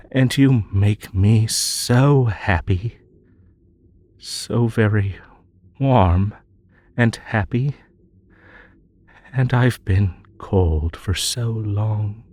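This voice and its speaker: A man playing a possessive, sweetly menacing magical bunny in an intimate roleplay audio, coaxing and hypnotic in a drawn-out, soothing delivery. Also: sits low, a little mellow, exaggerated voice. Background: music.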